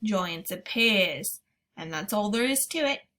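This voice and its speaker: A woman voicing a huge, kindly old male giant who speaks slowly and loudly with muddled grammar. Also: lively intonation. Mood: musing. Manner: slow, booming, mangled words.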